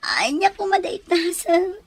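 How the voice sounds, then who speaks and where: A woman's voice: extremely high pitch, big pitch swings, a little slow, exaggerated voice. A woman playing a frightened, meek young captive in an audio drama, pleading deferentially with a Filipino accent. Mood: anxious. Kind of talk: Ilocano audio drama acting.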